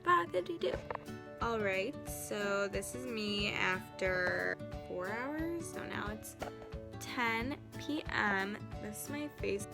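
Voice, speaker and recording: A chatty woman walks through her makeup routine at home in a loose, rambling way and pokes fun at herself as she goes. Her voice sits at an ordinary middle pitch, with music playing behind her. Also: lively intonation, slow pace, creaky. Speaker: beauty vlogger. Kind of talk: casual makeup tutorial.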